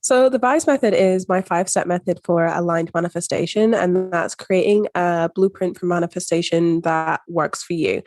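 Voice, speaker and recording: A woman with a faint, neutral Scottish accent speaks as an interview guest, explaining her ideas in a measured, coaching way. Her voice sits a little low. The sound is close and dry. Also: a little mellow, dry recording. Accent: soft, hard-to-place Scottish. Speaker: mindset coach, podcast guest.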